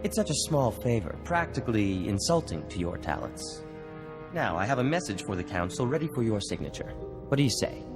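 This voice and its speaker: A man's voice: lowish voice, sing-song, brisk, over music. An actor playing a pompous, self-indulgent male scientist in a video game, with ornate, wheedling speech. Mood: smug, wheedling.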